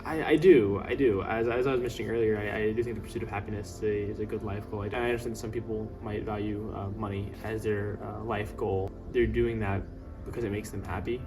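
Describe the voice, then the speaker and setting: A man's voice: lowish voice, fairly even intonation, fairly quick, darkish tone. A young man, a student, giving hesitant, stammering interview answers. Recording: distant mic with music underneath.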